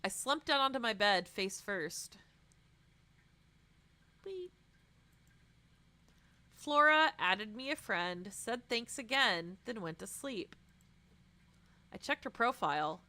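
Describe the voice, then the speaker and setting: Bright-voiced woman reading expressively. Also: on the high side, animated, slow pace, long pauses, no room sound. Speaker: streamer. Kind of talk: expressive read-aloud of a visual novel with casual reactions.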